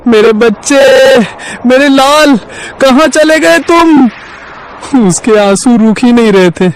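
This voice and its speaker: A man's voice: high-pitched, clean tone, low-quality recording. A man voicing a concerned, brotherly male parrot in a cartoon story, dramatic and grave. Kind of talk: Hindi cartoon story dialogue.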